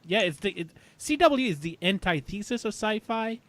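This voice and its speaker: A man who is a non-native English speaker hosting a casual chat, haltingly and often repeating words. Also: high voice, animated, a bit bright, no room sound. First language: not English. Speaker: podcast host.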